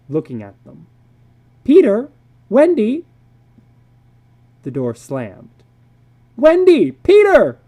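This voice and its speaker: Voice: mid-range voice, mellow. A narrator giving a dramatic reading of a short story.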